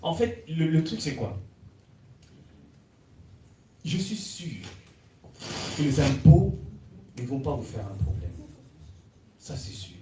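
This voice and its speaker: A man's voice: fairly high pitch, sing-song, takes it slowly, pauses often, dark tone, distant mic, a little hiss or hum. Man chiming in from an echoey hall. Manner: hesitant, repetitive, with affirming interjections. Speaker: church member in discussion. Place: church hall.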